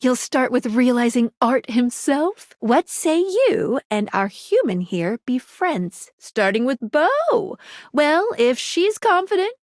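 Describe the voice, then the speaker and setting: A woman's voice: high voice, sing-song, slow, no room sound, clean studio sound, heavily acted. A woman voicing a perky, quirky young female guide in a dating video game, with a bubbly, playful, theatrical delivery. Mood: encouraging, excited. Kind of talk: animated video game voice acting.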